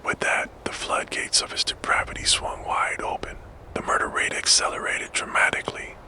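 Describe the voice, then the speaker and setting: Whispering man narrating softly. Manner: unvoiced whisper, slow and deliberate. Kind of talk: ASMR whispered true crime narration.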